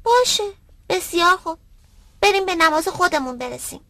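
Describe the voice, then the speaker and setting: A well-meaning young cartoon boy. The voice is extremely high, polite and inquisitive. It has the earnest delivery of an educational dub. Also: expressive, sharp tone, slight background noise, full character voice. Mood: agreeable. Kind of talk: dubbed educational cartoon acting.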